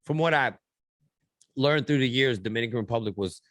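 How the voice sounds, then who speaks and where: A man hosting a casual podcast, telling stories in a slangy, animated way. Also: mid-range voice, fairly quick, no echo. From born in New York, raised in Pittsburgh. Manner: animated storytelling, slangy, acts out quotes loudly. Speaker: podcast host, radio and TV personality.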